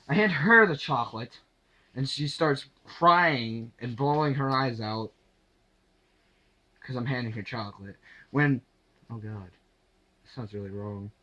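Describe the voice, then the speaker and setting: A young man telling a casual anecdote to camera in a halting, sweary way, acting out the dialogue as he goes. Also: mid-range voice, bright, forceful tone, rough recording. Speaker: storyteller.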